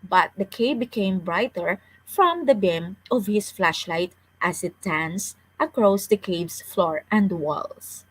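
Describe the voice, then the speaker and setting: A woman's voice: mid-range voice, animated, takes it slowly, hardly any pauses, slightly rough, low-quality recording. A woman reading a children's story aloud as a storyteller, with careful delivery and the odd stumble over words.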